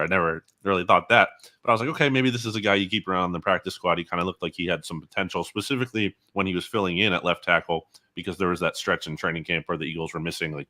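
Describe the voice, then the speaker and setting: A man's voice: deep voice, pushed and bright. A man giving conversational, opinionated sports commentary as an interview guest, often qualifying his points. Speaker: guest football analyst.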